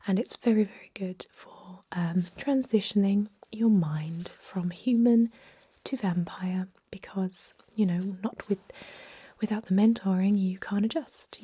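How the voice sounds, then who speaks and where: A woman playing an ancient vampire emergency-room doctor in an ASMR roleplay, speaking in a soft, breathy, confiding whisper with hesitant, unhurried phrasing. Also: medium pitch, natural voice. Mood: caring, clinical.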